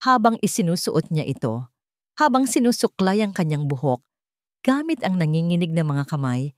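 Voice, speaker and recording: A woman's voice: on the low side, darkish tone, dry recording, studio quality. A woman narrating a scripted story in a solemn, dramatic storytelling manner.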